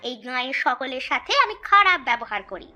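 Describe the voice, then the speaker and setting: A woman's voice: sits high, very animated, brisk, pushed and bright, faint music behind, heavily acted. A woman voicing a spiteful, sulky young village wife in a children's cartoon, petulant and sarcastic. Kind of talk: Bengali children's cartoon voice acting.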